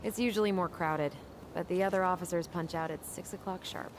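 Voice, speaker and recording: Low-voiced wry young woman, game drama actress. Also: a little fast, noisy background, close to an everyday voice. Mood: dryly joking. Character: young woman, wry, caring, anxious twin sister. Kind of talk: naturalistic video game acting. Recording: music behind the voice.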